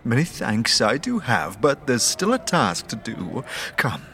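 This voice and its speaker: A man's voice: medium pitch, huge pitch swings, unhurried, heavily acted. A man voicing a gleeful, mad trickster fool who speaks in theatrical sing-song rhyme for a fantasy audio drama. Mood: sly, coaxing. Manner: sing-song rhyming, theatrical, swooping between hush and glee.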